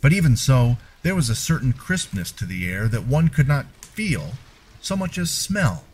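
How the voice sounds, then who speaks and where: A man's voice: medium pitch, big pitch swings, moderate pace, a little mellow, slightly rough, rough recording. A man narrating a first-person story from a script, with formal, genteel storyteller phrasing. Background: music.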